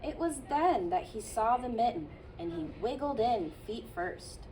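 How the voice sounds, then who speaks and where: A childcare teacher, a woman, reading a picture book aloud to children in a gentle storytelling manner with playful asides. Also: a little high, lively intonation, measured pace, recorded from a distance, slight background noise, poor audio.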